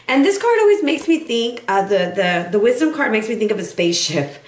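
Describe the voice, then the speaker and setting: A woman giving a casual, sassy tarot forecast, chatty and playful, a little hoarse from recovering from bronchitis. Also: fairly high pitch, very animated, fairly quick. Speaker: tarot reader. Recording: echoey room.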